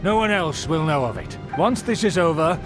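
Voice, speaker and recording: A man's voice: a little high, lively intonation, moderate pace, close to an everyday voice. A man playing a haughty, scheming aristocrat in a video game, speaking in a pompous, formal and condescending way. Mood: boastful. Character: haughty, scheming nobleman of a fallen aristocratic clan. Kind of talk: scripted video game voice acting. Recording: music behind the voice. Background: game music.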